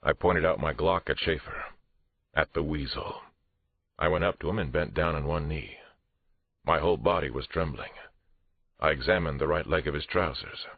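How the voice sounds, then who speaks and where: A man's voice: moderate pace, pauses often, exaggerated voice. A man reading a first-person audiobook thriller as a police detective, tense, restrained and dramatic. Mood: grim. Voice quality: very deep, husky. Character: male police detective narrator, angry but controlled. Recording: roomy, muffled phone-like audio.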